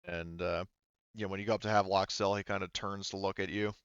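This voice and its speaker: A man's voice: deep voice, level tone, fast talker, a bit bright, clear, resonant tone, dry recording, dull audio. A man speaking casually and informally as a game master, narrating and explaining a tabletop game.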